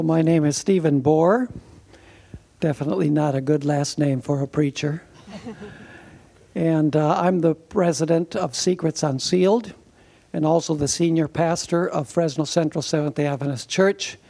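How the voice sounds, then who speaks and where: A man's voice: fairly high pitch, normal speed, vocal fry, dark tone. A man who is a senior pastor, introducing himself with wry, self-deprecating humor.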